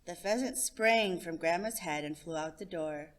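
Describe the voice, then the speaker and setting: A woman hosting a story time, reading a children's picture book aloud in an expressive narration. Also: fairly low pitch, normal speed. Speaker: storytime host and reader.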